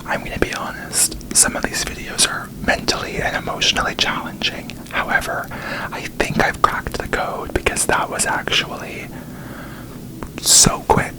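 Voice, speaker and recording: A man's voice: measured pace, poor audio. Whispering man in casual ASMR chat. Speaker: ASMR video creator.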